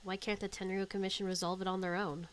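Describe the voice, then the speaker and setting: A woman's voice: medium pitch, flat delivery, fast, a little hiss or hum. A woman streamer giving casual, offhand commentary as she plays a game and reads out dialogue choices. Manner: informal, offhand. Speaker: streamer playing and reacting to a game. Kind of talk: casual gameplay commentary, reading dialogue options.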